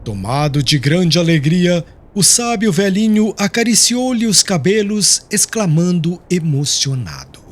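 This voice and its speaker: A male audiobook narrator with a somewhat high voice and a lively, rising and falling pitch. He reads in a measured, expressive way. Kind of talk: scripted storybook narration.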